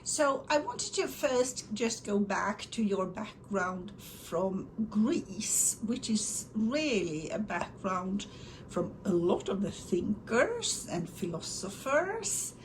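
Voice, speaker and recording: A woman's voice: mid-range voice, very animated, slow, rough recording. Female podcast host with faint background noise. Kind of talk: prepared introduction and interview questions. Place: university office.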